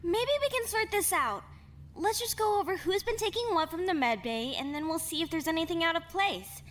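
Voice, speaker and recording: A very high-pitched young woman, played by a woman, hesitant and conciliatory, like a cartoon character trying to keep the peace. There is faint noise in the background. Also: animated, brisk, fairly bright tone. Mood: tentative, peacemaking. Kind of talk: animated murder-mystery voice acting.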